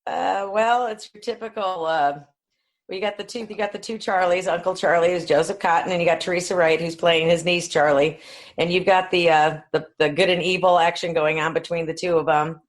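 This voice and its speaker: A woman's voice: fairly low pitch, fairly even intonation, fairly quick. A woman co-hosting a podcast, talking in a rambling, blunt, informal way. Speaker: podcast co-host. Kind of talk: casual film discussion.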